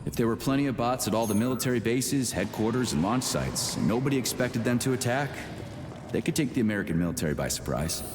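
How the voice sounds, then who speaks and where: Guy doing a gruff sardonic military major from a game, talks blunt n casual, very animated, roomy echo. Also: mid-range voice, quick pace, close to an everyday voice. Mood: matter-of-fact. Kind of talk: scripted video game dialogue. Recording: echoey reverberant space, music behind.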